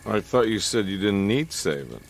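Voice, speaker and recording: Gruff noir-ish detective, low voice, dry sarcasm, horror game cutscene. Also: male voice, very animated, normal speed, faint music behind. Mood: wry. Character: wry, gruff male detective. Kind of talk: video game cutscene acting.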